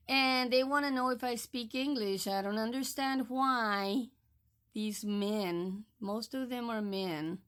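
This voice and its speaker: Slow, firm older woman from Texas. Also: medium pitch, a bit bright, clean tone. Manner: firm, admonishing, deliberate. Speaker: social media creator. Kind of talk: direct-to-camera monologue.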